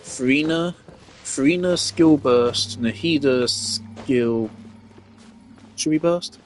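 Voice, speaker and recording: A man giving casual gameplay commentary, thinking out loud with fillers and the odd swear. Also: mid-range voice, slow pace, slight background noise. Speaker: gamer testing team setups.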